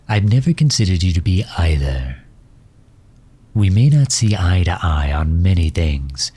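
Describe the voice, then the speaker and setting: A man playing a courtly megalomaniac villain in an ASMR audio drama, speaking formally and with measured, gentle solicitude. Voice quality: husky, low. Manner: formal, measured, silky endearments.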